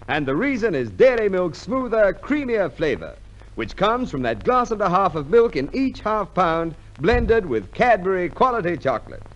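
A male host delivering a polished, persuasive 1950s radio commercial. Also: high voice. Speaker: host and announcer. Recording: dull, hissy 1950s radio recording.

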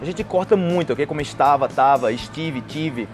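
A man's voice: fairly high pitch, normal speed, faint music behind. A young man with a Brazilian accent teaching a conversational language lesson to camera, explaining with acted example phrases. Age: young adult. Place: outdoors on a patio by trees and a street. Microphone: lapel mic.

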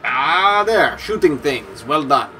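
A man playing a video game with friends, chatty and prone to excited exclamations. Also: on the high side, slow pace. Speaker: let's play player. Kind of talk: casual gaming banter.

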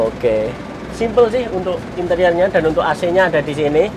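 A man's voice: fairly high pitch, lively intonation, a little slow. A man in his thirties casually showing off a minibus outdoors, chatting to his viewers as friends. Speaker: vlogger. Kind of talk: casual walkaround vlog. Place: outdoor car show parking lot. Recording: outdoor noise behind voice.

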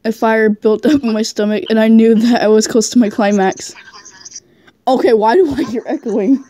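A woman's voice: fairly high pitch, fairly even intonation, normal speed, dark tone, no echo, studio quality. A woman reading fan fiction aloud casually as an amateur, giggly and stumbling over the text. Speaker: fan fiction reader, channel host.

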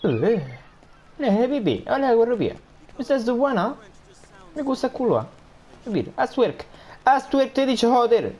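A swaggering, foul-mouthed rich man, put on by a male gamer, barks in broken speech, cursing at nearly every phrase. His pitch leaps and dips with lively swings, and a faint hiss of noise sits under the voice. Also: measured pace, pauses often, exaggerated voice. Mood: rude. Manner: swears constantly, broken grammar, brash and rude. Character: crude boastful foreign rich man, foul-mouthed, arrogant. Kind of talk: gameplay commentary in character.

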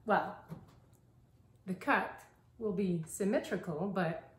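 A woman's voice: medium pitch, slow pace, reverberant, slight background noise. A woman in her thirties narrating her craft work in a casual, upbeat, appreciative way. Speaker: soap maker presenting own videos. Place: small indoor workshop kitchen.

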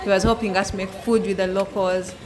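A woman's voice: mid-range voice, steady tone, a little fast, darkish tone, a faint crowd. A young woman hosting her travel channel, chatty and upbeat, talking straight to viewers on a selfie phone outdoors. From Uganda. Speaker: travel vlogger, channel host. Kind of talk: travel vlog to camera. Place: outdoors by a waterfall. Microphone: selfie phone at arm's length.

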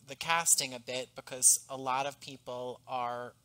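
A man's voice: mid-range voice, steady tone, pushed and bright, rough recording. Earnest man on a headset mic. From US East Coast. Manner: thoughtful, earnest, measured. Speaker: podcast creator and guest. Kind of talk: reflective conversational interview. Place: home room, on a video call. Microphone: headset boom mic.

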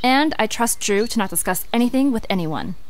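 A woman's voice: fairly high pitch, expressive, brisk, slight background noise, natural voice. A woman playing a curious, determined young investigator in an audio drama, earnest and conversational.

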